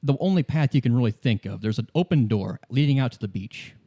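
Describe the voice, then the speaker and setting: A man narrating a tabletop game in the second person as game master, improvising in a halting way and repeating phrases. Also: medium pitch, animated, mellow.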